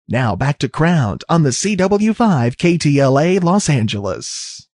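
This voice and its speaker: A man's voice: on the high side, a little mellow, no room sound. A man reading scripted television promos as a voice-over announcer, with a punchy, polished broadcast delivery on a close studio mic. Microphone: close studio voice-over microphone.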